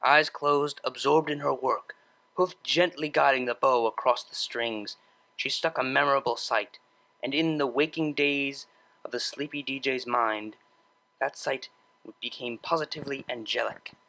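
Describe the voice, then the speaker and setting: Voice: mid-range voice, unhurried, bright, forceful tone, low-quality recording. A narrator reading scripted fan fiction as an audiobook storyteller.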